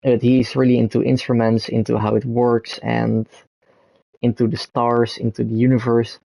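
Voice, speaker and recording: A man's voice: medium pitch, level tone, moderate pace, gravelly, warm tone, no echo, poor audio. A young man from the Netherlands with a Dutch accent, rambling to camera with many ums and self-corrections. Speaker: content creator. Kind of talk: unscripted talk to camera.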